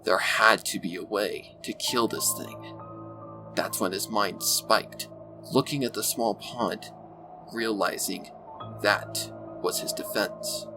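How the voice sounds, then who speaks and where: A man reading a scripted dark adventure story as its narrator, in audiobook style. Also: fairly low pitch, takes it slowly, low-quality recording. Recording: music bed behind the voice. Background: loud background music.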